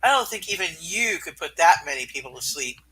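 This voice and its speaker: A man's voice: high-pitched, lively intonation, brisk, bright, forceful tone, husky, low-quality recording. A man reading comic-book dialogue aloud in a casual amateur style.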